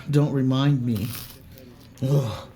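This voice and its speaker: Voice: mid-range voice, drawn-out words, warm tone. A player voicing a tired, troubled ship chief mate in a tabletop game. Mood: weary.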